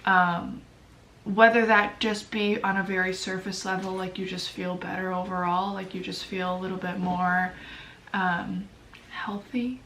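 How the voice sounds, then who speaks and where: A woman's voice: fairly low pitch, little pitch movement, unhurried, fairly bright tone, slight background noise. Congested young woman vlogging. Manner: chatty, self-deprecating, many fillers. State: congested, sniffly. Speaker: lifestyle vlogger. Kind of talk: casual chat to camera. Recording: echoey room, voice a bit far from mic.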